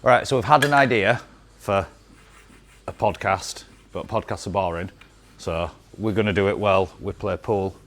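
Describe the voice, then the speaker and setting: A man's voice: sits low, normal speed, vocal fry, fairly bright tone, slight background noise. A man hosting a casual podcast chat over pool, cheeky and full of banter. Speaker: podcast host.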